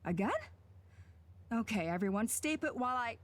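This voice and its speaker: A woman playing a composed, formal elder leader in a video game, speaking in measured, commanding phrases over faint ambience. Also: on the high side, expressive, slight background noise, lightly acted. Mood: concerned.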